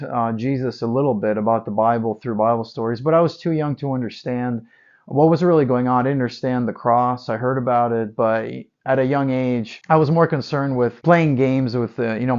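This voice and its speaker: A man's voice: mid-range voice, darkish tone, highs cut off. A man from Ukraine with a Ukrainian accent, giving an earnest personal faith testimony as an evangelist, repeating words for emphasis with frequent fillers. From Ukraine, Odessa.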